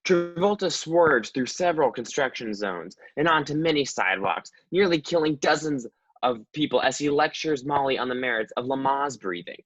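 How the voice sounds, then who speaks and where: A woman's voice: very deep voice, animated, normal speed, dry recording. Woman, comedic narration, medium voice, dry, dull lo-fi audio.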